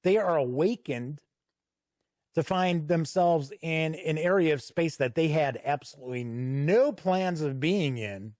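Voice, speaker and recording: A man hosting an unscripted review walk-through, explaining things in a measured way with deliberate pauses. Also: fairly high pitch, darkish tone, no room sound, clean studio sound. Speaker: host and reviewer.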